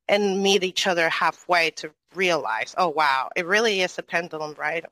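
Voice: medium pitch, bright, forceful tone, dry recording, rough recording. A moderator from Venezuela in a casual online audio chat, asking a long, rambling question and often tagging on "right?".

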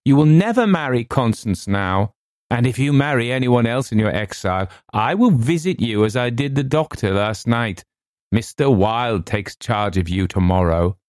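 A man's voice: low voice, measured pace, few pauses, darkish tone, no room sound, studio quality. A man voicing a deluded, raging young man who proclaims himself king, in a grandiose, imperious audiobook reading. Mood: menacing triumph. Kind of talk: dramatic audiobook character reading.

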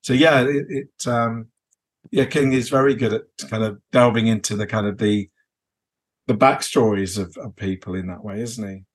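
A man's voice: fairly low pitch, steady tone, normal speed. A man chatting casually as a podcast host, tentative and repeating words as he thinks. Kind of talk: casual unscripted chat.

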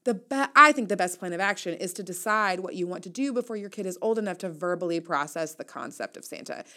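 A woman's voice: fairly low pitch, lively intonation, fast talker, sharp tone. An American woman in her thirties hosting a solo podcast in a casual, confiding way, with wry, playful and emphatic asides. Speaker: podcast host and newsletter writer.

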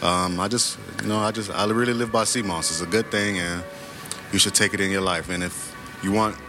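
Male guest from Florida, very low voice, plainspoken self-assured pitchman, clear music underneath. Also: fairly even intonation, fairly quick. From Florida, United States. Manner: confident, boastful, plainspoken. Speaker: guest, sea moss vendor. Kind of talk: casual sales pitch and chat. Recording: music playing behind the voice.

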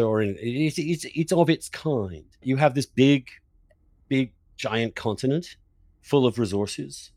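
Male podcast host, highly animated intonation, discursive and thinking aloud, close dry sound. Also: no room sound. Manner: thinking aloud, repeats words for emphasis. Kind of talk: conversational, discursive interviewing.

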